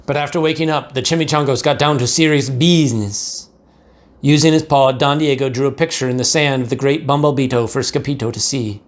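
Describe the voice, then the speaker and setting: Animated man reading a children's storybook aloud. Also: medium pitch, a little fast. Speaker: pastor reading a picture book to second graders.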